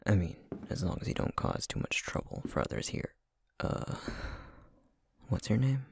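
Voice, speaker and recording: A man playing a gruff, weary but welcoming housemate in an ASMR roleplay, with a raspy, rumbling, slightly congested voice and a hesitant, matter-of-fact way of talking. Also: huge pitch swings, normal speed, dry recording, clean studio sound, exaggerated voice. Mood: laid-back, welcoming.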